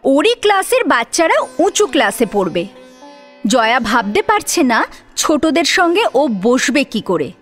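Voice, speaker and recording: A woman's voice: sits high, very animated, a little slow, a bit bright, soft background music. A woman narrating a serialized story dramatically, as its storyteller.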